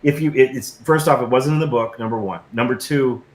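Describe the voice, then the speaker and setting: A man's voice: mid-range voice, brisk. A middle-aged man being interviewed casually, talking effusively and emphatically and often stammering over repeated words. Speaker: guest, science fiction creator and designer. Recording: roomy echo, noticeable background noise.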